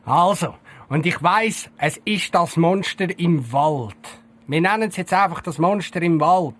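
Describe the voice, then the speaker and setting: A man with a Swiss German accent playing a bossy, excitable, easily spooked detective chief in a comic audio drama. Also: on the high side, unhurried. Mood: spooked, insistent. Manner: blustering, defensive, jumpy.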